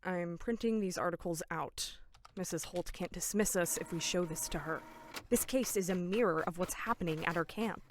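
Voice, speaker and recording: A woman's voice: on the low side, normal speed, slight background noise, lightly acted. A woman acting in an audio drama as a level-headed young camp counselor, sincere, polite and matter-of-fact. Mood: practical.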